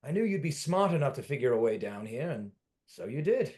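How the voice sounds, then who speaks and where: A man's voice: mid-range voice, very animated, brisk, darkish tone, lightly acted. A man playing a world-weary forty-year-old from another century, formal and fatalistic, in a dramatic table read. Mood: scheming. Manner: formal old-fashioned phrasing, theatrical, fatalistic.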